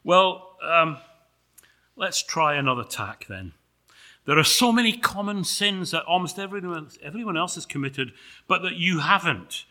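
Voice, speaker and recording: A man's voice: on the high side, lively intonation, measured pace, bright, forceful tone, pitched above the performer's own voice, exaggerated voice. A man voicing an eager, flustered angel who pleads like a starstruck defense counsel in a sermon sketch. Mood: alarmed, pleading.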